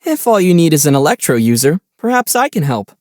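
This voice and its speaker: A man voicing a young adventurer in a video game, calm, kind and dryly witty, with a gently teasing, unhurried delivery. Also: fairly high pitch, dry recording. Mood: curious, helpful.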